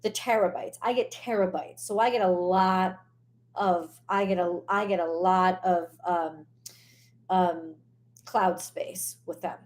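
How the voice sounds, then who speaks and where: A hoarse woman hosting a live tarot stream, talking casually and bluntly, swearing and losing her train of thought as she vents. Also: fairly low pitch, steady tone, takes it slowly, darkish tone.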